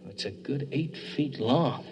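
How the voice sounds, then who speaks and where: An actor playing an observant member of a planetary expedition in a 1950s radio drama. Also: male voice, mid-range voice, unhurried, noisy background, natural voice. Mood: wary.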